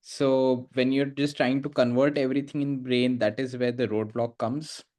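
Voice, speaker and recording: A man's voice: mid-range voice, little pitch movement, moderate pace, darkish tone. A man speaking as a guest in a panel discussion, giving advice hesitantly with many fillers and restarted words. English is not his first language.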